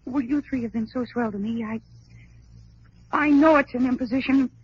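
An eager young woman of old radio drama, with a high voice. She pleads and insists with open emotion, and the recording is dull and muffled. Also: normal speed, breathy edge, no echo. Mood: tense, earnest.

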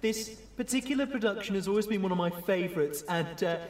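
A man's voice: sits high, quick pace, close to an everyday voice. Earnest, stumbling theatre director, acted by a man, echoey hall. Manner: apologetic, pleading, stumbling. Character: earnest, nervous amateur theatre director addressing an audience. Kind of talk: halting welcome speech. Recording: echoey hall, distant from mic.

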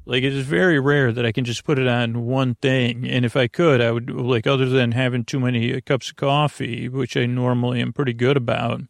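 A man hosting a bedtime podcast in a creaky, lulling voice, meandering hesitantly through tangents. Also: mid-range voice, little pitch movement, fairly quick, hardly any pauses, no room sound, studio quality. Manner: hesitant, digressive, trailing off into tangents; lulling, soothing. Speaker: host of a bedtime sleep podcast. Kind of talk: rambling, meandering bedtime monologue.

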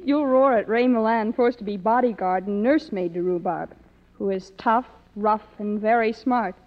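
A woman's voice: medium pitch, normal speed. A woman guest on a 1950s radio show, delivering scripted banter and promotion. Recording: muffled old radio recording, hall reverb.

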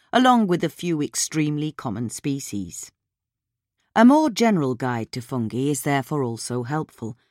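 A woman reading a magazine article aloud in a steady, clear, instructive way. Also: low voice, no echo, studio quality. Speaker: reader.

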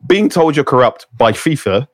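A man's voice: mid-range voice, sing-song, normal speed, dry recording, studio quality. A man, chatting on a podcast panel and thinking aloud, with frequent restarts. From London. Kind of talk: casual discussion.

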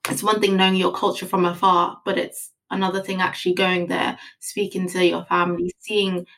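Young woman, earnest storytelling, flat, even pitch. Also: moderate pace, low-quality recording. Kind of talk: casual conversation.

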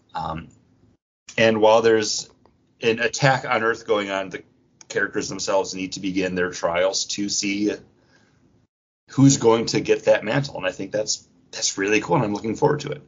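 A man's voice: on the low side, husky, muffled recording. A man co-hosting a podcast in casual, jokey, digressive chat, slipping into imagined quotes. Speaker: podcast co-host. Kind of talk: casual comic book discussion.

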